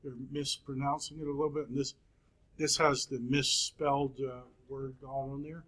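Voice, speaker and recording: A man in his fifties teaching a Bible class, speaking slowly with frequent pauses and fillers. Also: medium pitch, flat delivery, fairly bright tone, poor audio. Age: 50s. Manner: slow, halting, many fillers and pauses. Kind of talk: classroom lecture.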